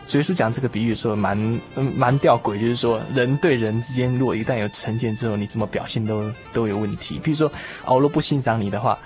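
A man's voice: medium pitch, fast, hardly any pauses, a little mellow, faint music behind. A man hosting a conversational discussion of faith in a reflective, explanatory way. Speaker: radio talk show host.

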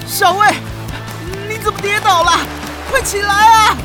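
A woman voicing an earnest, excitable schoolchild in a Taiwanese Mandarin children's radio drama, speaking with dramatic emphasis. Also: extremely high pitch, measured pace. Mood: panicked. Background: music.

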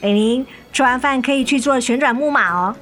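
一位女演员在广播剧中饰演操心又疲惫、爱叮咛的母亲。. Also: female voice, high-pitched, brisk, a bit bright. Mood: fond, chiding. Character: worried, weary, nagging mother of two daughters. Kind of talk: radio drama acting.

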